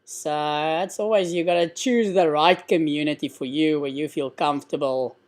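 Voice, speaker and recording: A man's voice: a little high, steady tone, normal speed. A man from South Africa with an Afrikaans accent, hosting a casual live stream Q&A and explaining things conversationally with frequent fillers. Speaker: live stream host answering questions.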